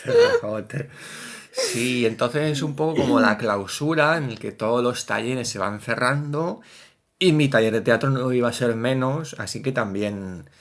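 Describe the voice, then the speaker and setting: A man, co-hosting a casual culture podcast as a theatre director, animated and playful, exclaiming often. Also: medium pitch, unhurried. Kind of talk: casual chat.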